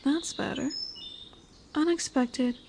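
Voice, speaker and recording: A woman plays a large lamia in a fantasy audio drama, with a high, mellow voice. She is a seductive monster who coaxes and commands with formal, hypnotic poise. Faint woodland birdsong sits behind her. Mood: imperious, curious. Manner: slow, hypnotic, coaxing, formal. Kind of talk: roleplay audio drama with soft whispered passages. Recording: noisy woodland ambience with birdsong. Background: birdsong and forest ambience.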